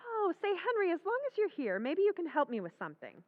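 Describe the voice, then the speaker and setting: An actress playing a chatty young wife in an old-time radio drama recreation, breezy and a little anxious. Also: female voice, very high-pitched, wildly swooping pitch, fast, full character voice. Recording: thin phone-like sound.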